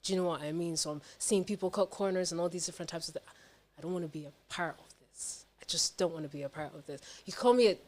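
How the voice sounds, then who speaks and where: Low-voiced young woman chatting candidly. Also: fast talker, a little mellow. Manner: candid, emphatic, slangy and sweary. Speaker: podcast guest. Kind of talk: casual personal chat.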